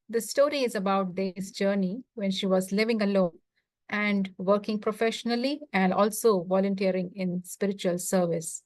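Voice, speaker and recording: A woman hosting a talk, introducing a guest speaker in formal, measured, courteous phrasing. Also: mid-range voice, dry recording.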